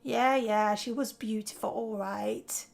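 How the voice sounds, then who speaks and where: Female actor as loyal vampire sister, sardonic, blunt, fairly high voice, machinima drama dialogue. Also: fairly even intonation, takes it slowly, gravelly. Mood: scornful. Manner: wry, blunt.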